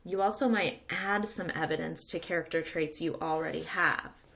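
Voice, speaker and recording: A woman with a bright, fairly low voice speaks as a teacher. She talks casually to children in a read-along lesson, explaining and checking in as she goes. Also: normal speed, vocal fry. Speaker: teacher reading aloud.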